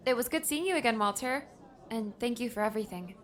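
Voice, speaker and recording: A woman's voice: fairly high pitch, fast talker, lightly acted. An actress playing an earnest, homesick young woman adventurer in an audiobook drama. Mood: grateful. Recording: music underneath.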